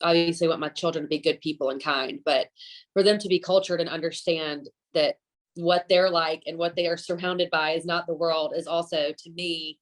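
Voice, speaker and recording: A woman's voice: deep voice, fairly even intonation, normal speed, rough recording. A woman with a Southern US accent, chatting casually as an interview guest, talkative and emphatic about her views. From Alabama, southern US. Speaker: guest, chef. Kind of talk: casual podcast conversation.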